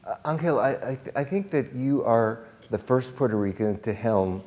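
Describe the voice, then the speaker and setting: A man's voice: mid-range voice, expressive, normal speed, a little mellow. A man moderating a panel, interviewing guests in a conversational style.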